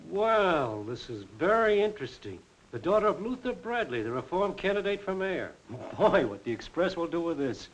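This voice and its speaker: A man's voice: high-pitched, very animated, normal speed, hardly any pauses. A man playing a sly, self-important doctor eager to please, in a 1940s crime film, with a knowing manner. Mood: smug, knowing. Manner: knowing, insinuating. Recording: noisy old 1940s film soundtrack.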